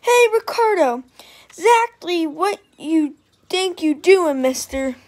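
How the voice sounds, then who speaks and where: A bossy, excitable little schoolgirl from a storybook, read aloud by a woman. Her voice is high and shrill, and she talks in an emphatic, insistent way. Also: normal speed.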